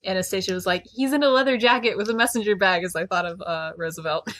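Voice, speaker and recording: A woman co-hosts a casual podcast in a bright, crisp-edged voice at a middling pitch. The sound is dry, with no room around it. She talks conversationally, as among friends. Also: fast talker, dry recording. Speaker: podcast co-host.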